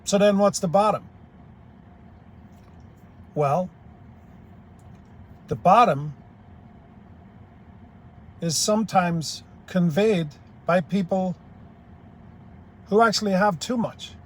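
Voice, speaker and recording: A man's voice: high voice, slow pace, pauses often. An older man from Ireland giving a blunt, plain-spoken, rambling opinion monologue as a YouTube commentator.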